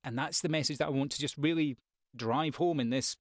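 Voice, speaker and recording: A man's voice: fairly high pitch, fairly quick, no echo. Conversational male voice coach, very dry sound. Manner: rambling, expressive with acted examples. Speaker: voice-over artist and coach giving tips. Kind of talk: conversational tutorial talk to viewers.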